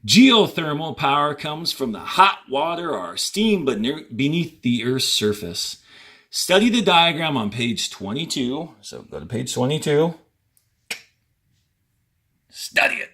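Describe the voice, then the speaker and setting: A man who teaches fourth grade, reading a textbook aloud with deliberate emphasis on key words and short instructional asides. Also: fairly high pitch, sing-song, pushed and bright.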